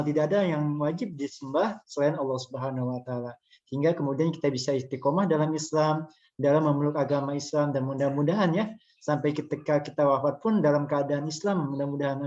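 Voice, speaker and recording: A man's voice: a little high, darkish tone. Chatty moderator man, lo-fi call audio. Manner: chatty, many "eh" fillers, addresses audience. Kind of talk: hosting an online study session. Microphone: online video call.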